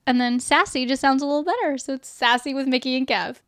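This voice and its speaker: A young woman co-hosting a casual podcast, with a fairly high voice. She teases her co-host and prods him with questions. The recording is close and dry. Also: fast talker, fairly bright tone, no room sound. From Japan. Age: 20s. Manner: playful, teasing.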